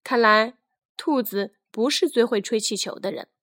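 A woman narrating a scripted children's fairy tale in an expressive storytelling manner. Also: on the high side, moderate pace, no echo, clean studio sound.

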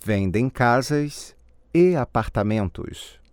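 A man reading model answers in a language course drill, slow and clearly enunciated. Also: mid-range voice, lively intonation, darkish tone, no echo, clean studio sound.

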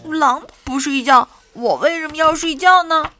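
A woman's voice: high voice, unhurried, pushed and bright, soft background music, voice pushed up. A woman voicing a playful little boy bear cub who howls like a wolf. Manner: squealing, howling like a wolf.

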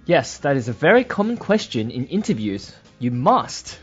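A man co-hosts a casual podcast English lesson and gives dry, teasing answers. His pitch is animated. The sound is muffled and lo-fi, with soft music behind him. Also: moderate pace, slightly rough. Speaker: podcast co-host, native English speaker.

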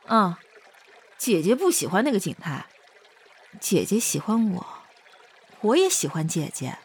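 A woman's voice: medium pitch, very animated, measured pace, breathy edge, full character voice. A woman playing a childlike, naive and devoted girl in a Chinese costume audio drama, artless and pleading, calling herself by name. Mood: earnest, stubborn.

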